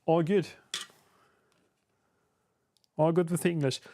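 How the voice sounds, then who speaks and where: A man's voice: on the high side, fast, a little hiss or hum. German man chatting on a live stream. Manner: relaxed and conversational, many fillers, answers chat. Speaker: streamer and YouTuber building a 3D printed enclosure. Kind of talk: casual live stream chat while building.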